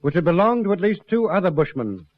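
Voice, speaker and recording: A man narrating police case files for a radio drama in a formal, sober, measured scripted style. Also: high-pitched, sing-song, no echo. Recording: dull lo-fi radio recording.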